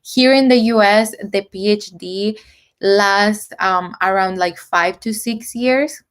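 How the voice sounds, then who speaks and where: A woman's voice: medium pitch, slow, clean tone. A chatty young woman from El Salvador talks through her answers. She is a PhD student, and her voice sits in the middle of the range. She laughs easily and fills gaps with ums and likes. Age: 20s. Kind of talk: casual interview answers.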